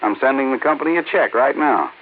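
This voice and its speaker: An actor playing a gruff, grumbling middle-aged husband in an old radio skit, with a gravelly voice. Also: male voice, medium pitch, lively intonation, fast, close to an everyday voice. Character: blustery middle-aged male husband, a sergeant. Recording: scratchy lo-fi old radio broadcast.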